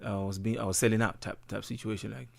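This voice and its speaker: A man's voice: lowish voice, flat delivery, quick pace, vocal fry, a little mellow. A man talking casually in an interview as a musician, laid-back, full of slang and fillers. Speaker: guest musician.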